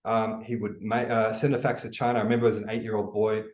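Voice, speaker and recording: An Australian man with a lowish voice, talking quickly and with energy as a podcast guest. He tells stories in a loose way, full of fillers and restarts. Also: little pitch movement, darkish tone. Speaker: guest, e-commerce educator. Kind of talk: podcast interview.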